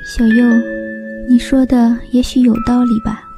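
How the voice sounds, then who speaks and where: Devoted female sock, naive and plaintive, woman acting in audio fairy tale; highish voice, music underneath. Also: level tone, a little slow. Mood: stubborn longing. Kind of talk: fairy-tale radio drama dialogue. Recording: clear music behind voice.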